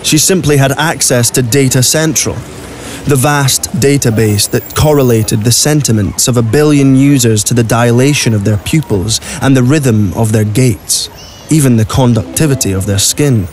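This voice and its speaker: A man's voice: mid-range voice, normal speed, a little mellow, a little hiss or hum. A man reading scripted narration for an audio drama.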